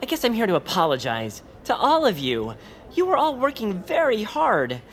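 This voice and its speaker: Earnest unsure amateur director in an audio comedy, hesitant but really expressive, pitch moves around a lot. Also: female voice, moderate pace. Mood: apologetic, earnest. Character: earnest, self-doubting amateur theatre director. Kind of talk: scripted comedic audio drama acting.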